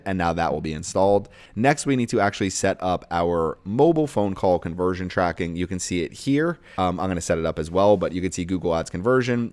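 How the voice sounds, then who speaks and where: A man's voice: deep voice, no room sound. A man hosting a casual screen-share tutorial, explaining each step in a plain-spoken, instructional way. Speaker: tutorial host and online course creator.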